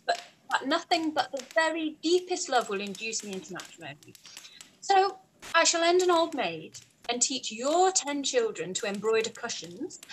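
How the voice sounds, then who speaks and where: Woman as a bright, witty young gentlewoman. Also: high voice, full character voice. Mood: playfully resolute. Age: young adult. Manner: arch, playful. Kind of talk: play read-through, period drama. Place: indoor room by a window, over video call. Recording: noisy video-call audio.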